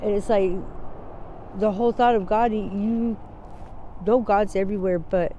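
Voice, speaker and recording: Woman, forties, from Wyoming, speaks unpolished and halting, wanders off mid-thought, laughs easily, street sounds behind her. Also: mid-range voice, fairly even intonation, dark tone, lo-fi sound, slight background noise. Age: 40s. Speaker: interviewee. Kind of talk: candid street interview. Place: outdoors on a city street.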